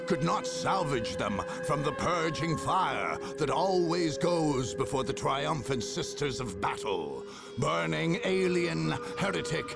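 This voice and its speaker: Over swelling music, a solemn male chronicler of grim war for a video game. His delivery is gravelly and formal, with dramatic, sweeping shifts of pitch. He weighs key words with zealous, portentous emphasis. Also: heavily acted. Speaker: narrator of game campaign endings. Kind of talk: dramatic scripted narration.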